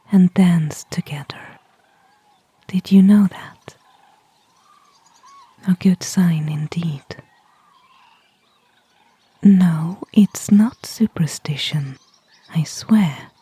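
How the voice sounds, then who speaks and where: A woman acts out a gentle elven ranger in a fantasy ASMR roleplay. She speaks in a very breathy, hushed whisper at a slow, unhurried pace, kindly and thoughtful, with a soft, mellow tone. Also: lowish voice, no echo, full character voice. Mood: hushed wonder, then earnest, gently teasing.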